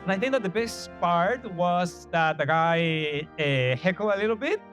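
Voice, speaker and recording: Male comedian interviewee, Chilean Spanish accent, highish voice, casual delivery, music playing behind. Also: animated, measured pace, fairly bright tone. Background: background music.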